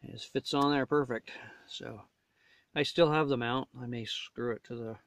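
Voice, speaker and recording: A man's voice: mid-range voice, steady tone, moderate pace, fairly bright tone, no echo, clean studio sound. A man casually demonstrating gear as a reviewer, talking in short, hesitant phrases. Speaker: gear reviewer showing a radio install.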